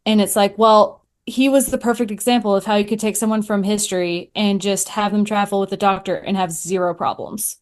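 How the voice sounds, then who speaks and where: A woman chats casually as a podcast guest. She tells things in an animated, storytelling way, with a mid-pitched voice and little acted-out quotes. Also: fairly quick, few pauses. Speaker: podcaster answering questions as a guest. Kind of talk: casual chat.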